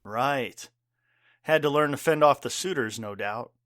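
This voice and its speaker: A man playing a caring, gruff bartender father and war veteran in an audio drama, earnest, wry and plain-spoken. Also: medium pitch, a little slow, fairly bright tone, dry recording, clean studio sound, close to an everyday voice.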